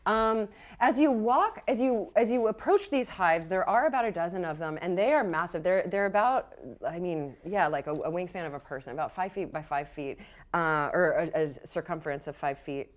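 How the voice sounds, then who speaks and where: Slightly low-voiced woman game master. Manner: halting, self-correcting, casual. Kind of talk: improvised tabletop game narration.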